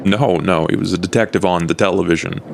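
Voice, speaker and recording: A low, gravelly male vampire played by a man at a gaming table, old and courteous. He talks in measured, formal phrases with understatement, over faint background noise. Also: steady tone. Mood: reflective, reserved.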